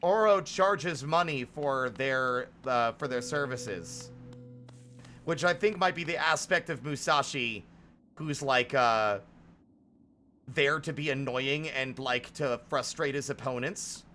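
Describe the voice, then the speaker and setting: A man's voice: mid-range voice, slow, pushed and bright, slight background noise. A man giving casual live gameplay commentary as a streamer, musing and explaining as he goes. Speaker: game streamer.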